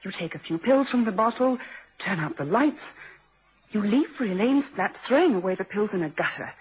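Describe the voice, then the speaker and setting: An actress playing an earnest, insistent woman in a vintage radio drama, speaking deliberately and persuasively with dramatic weight. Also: mid-range voice, expressive, natural voice. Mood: insistent, accusing. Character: earnest, insistent woman warning a suspected man. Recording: muffled, noisy vintage radio recording.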